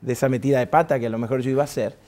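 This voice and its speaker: A man's voice: mid-range voice, fairly even intonation, very fast, darkish tone. A middle-aged man from Argentina with an Argentine Spanish accent, a pastor telling his story as a guest in a TV studio interview, jovial and chatty, often laughing. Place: TV studio set.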